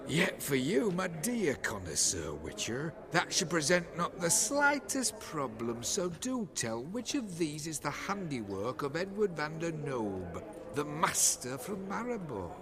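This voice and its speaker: An actor playing a smug, haughty art connoisseur in a video game, florid and condescending. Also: male voice, a little high, big pitch swings, measured pace, crowd noise. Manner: pompous, condescending.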